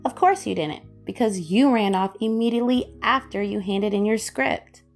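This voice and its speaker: Creaky-voiced, teasing student mage played by a woman. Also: mid-range voice, animated, normal speed, soft background music, natural voice. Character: teasing young female mage student, chatty friend. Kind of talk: audio drama dialogue.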